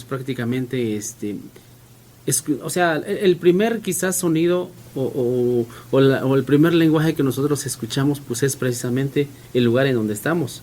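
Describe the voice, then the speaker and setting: A man's voice: medium pitch, a little mellow. A man whose first language is Mixe, hosting a radio conversation and asking questions with frequent fillers. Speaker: radio host.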